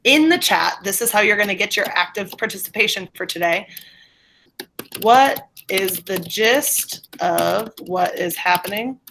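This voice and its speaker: Teacher lady on a video call, chatty and encouraging, mid pitch. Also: lively intonation, vocal fry, sharp tone, slight background noise. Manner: encouraging, informal, quick asides. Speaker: middle school teacher. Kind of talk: online class instruction.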